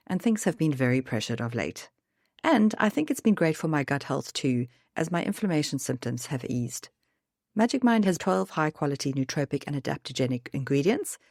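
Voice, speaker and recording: Woman podcast host, a touch gravelly today, upbeat scripted read, dry close sound. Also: low-pitched, a little mellow, no echo. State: slightly gravelly voice.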